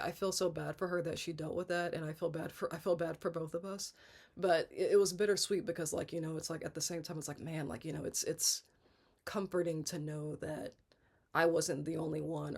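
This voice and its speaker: A woman in her thirties speaks in a congested, gravelly voice with plenty of vocal fry. Her delivery is flat and even, halting, with frequent restarts as she rambles candidly through a personal vlog. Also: low-pitched. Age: 30s. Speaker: vlogger.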